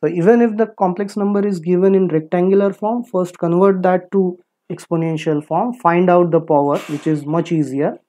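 A woman lecturing in mathematics, explaining step by step in a deliberate, instructive manner and posing questions that she answers herself. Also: lowish voice, dark tone. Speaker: physics lecturer.

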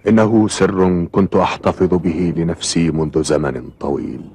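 A man's voice: low voice, fairly even intonation, normal speed, mellow, slight background noise. Kindly cartoon fisherman uncle. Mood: confiding. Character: middle-aged fisherman uncle, kindly, patient, pious. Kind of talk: cartoon voice acting in formal Arabic.

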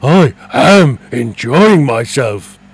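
A man reading aloud and voicing a gentle, lonely male dragon who speaks in a plaintive, wheedling way. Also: mid-range voice, lively intonation, extremely slow, vocal fry, breathy edge, dry recording, full character voice. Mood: excited.

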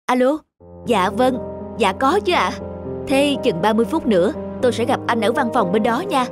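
Fairly high female voice, actress as busy office worker, brisk, courteous, cartoon dialogue, music behind. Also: animated, lightly acted. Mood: busy, worried. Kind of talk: cartoon dubbing, everyday dialogue.

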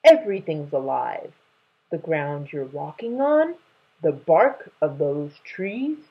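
A woman voicing a wise adult male lion, a stone guardian, in a measured, dignified manner for a storybook. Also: low voice, big pitch swings, breathy edge, phone-quality sound, heavily acted. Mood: assured. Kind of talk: children's storybook read-aloud.

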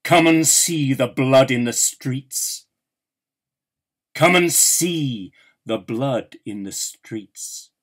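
A man, an actor, reciting a poem dramatically in a measured, theatrical way with emphatic pauses. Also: mid-range voice.

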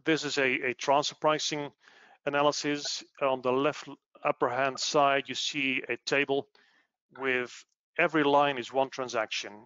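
A man explaining business material in a webinar in a measured, halting way over an online call. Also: mid-range voice, fairly bright tone, clean tone, dry recording. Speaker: webinar presenter, tax expert. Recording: dull lo-fi online audio.

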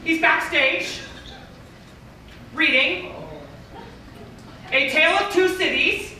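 A man playing an excitable, wisecracking young Hollywood musician in a stage musical comedy, projecting theatrically. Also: very high voice, slow pace, heavily acted. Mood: eager pitching. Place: large indoor theatre stage. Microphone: far audience camera. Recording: echoey theatre from far off, music behind.

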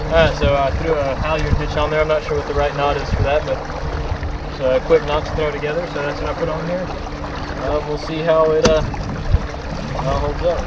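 A young man in casual narration from a small sailboat on a lake, talking through his sailing with many "uh" pauses. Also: a little high, level tone. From Texas. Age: young adult. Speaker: sailing vlogger. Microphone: action camera on the boat. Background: wind, water and loud music.

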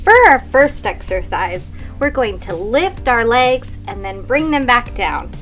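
A woman's voice: on the high side, expressive, a little slow, fairly bright tone, faint music behind. A woman playing a meek, over-accommodating home video workout instructor in an exercise parody. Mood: meekly encouraging. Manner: encouraging, then apologetic and backpedaling.

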